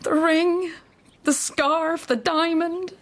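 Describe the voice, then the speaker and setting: A woman playing an anguished young society daughter in a period radio drama, earnest and halting. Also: extremely high pitch, steady tone. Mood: anguished confession. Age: young adult.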